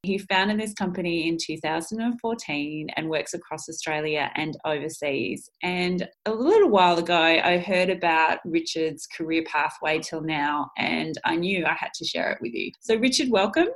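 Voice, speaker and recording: A woman hosting an interview podcast, reading a measured introduction and then asking her guest friendly questions. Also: low-pitched. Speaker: podcast host.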